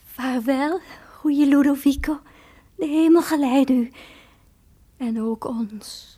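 An actress playing a warm-hearted, smitten lady's companion in a radio drama, effusive and sentimental. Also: sits high, slow. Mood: smitten, relieved. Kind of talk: theatrical Dutch radio drama acting.

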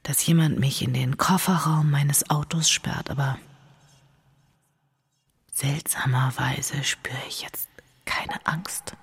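An actress playing a woman narrating a dark, trancelike inner monologue in hushed, breathy, deliberate short phrases. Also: extremely low pitch, fairly even intonation, full character voice. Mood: hushed, eerie. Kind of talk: intimate audio drama monologue.

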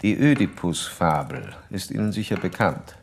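An actor playing a self-assured psychoanalyst in a radio drama, speaking in measured, formal, didactic sentences. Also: male voice, deep voice, expressive, slight background noise. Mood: clinical, probing. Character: male psychoanalyst doctor, clinical, probing, self-assured. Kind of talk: radio drama dialogue and letter monologue.

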